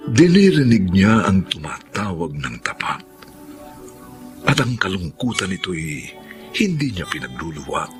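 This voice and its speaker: A man's voice: low-pitched, big pitch swings, measured pace, soft background music. A man announcing a radio drama in a solemn, theatrical scripted style, reading closing lines and credits.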